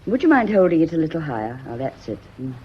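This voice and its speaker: A low, husky, genteel lady's companion. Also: big pitch swings, quick pace, dull audio, heavily acted. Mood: evasive, uneasy. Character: tense, genteel lady's companion, curt and evasive. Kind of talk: period radio drama acting.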